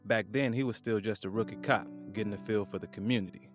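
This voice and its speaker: A man narrating an audio drama in a measured, solemn way. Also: fairly low pitch, over music.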